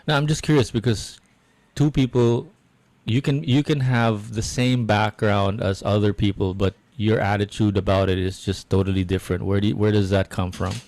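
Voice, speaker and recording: Low-voiced man asking probing questions, dry sound. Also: fairly even intonation, moderate pace, a little mellow, no room sound. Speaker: podcast co-host. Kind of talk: casual podcast chat.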